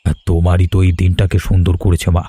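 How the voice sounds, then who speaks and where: An actor voicing a kind, generous older village gentleman in a cartoon, speaking in a gentle, fatherly way. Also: deep voice, monotone, a little fast, breathy edge. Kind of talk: cartoon voice acting.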